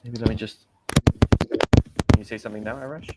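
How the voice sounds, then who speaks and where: A man with a British accent, talking through a relaxed livestream in a fairly low voice. He chats easily with viewers and stops now and then to correct their word choices, the way a language teacher would. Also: normal speed, very noisy. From Britain. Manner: chatty, corrects learners' vocabulary. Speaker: English teacher hosting a livestream. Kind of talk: casual livestream chat. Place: home in Tenerife.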